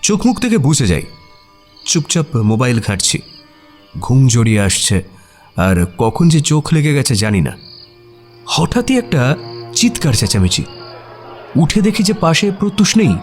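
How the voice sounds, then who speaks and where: A man acting a detective's puzzled, admiring friend who narrates a mystery audio story in an expressive, suspenseful way. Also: medium pitch, unhurried, long pauses. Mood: alarmed. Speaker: narrator and sidekick character. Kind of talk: dramatized first-person audio story narration. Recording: music behind the voice. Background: music.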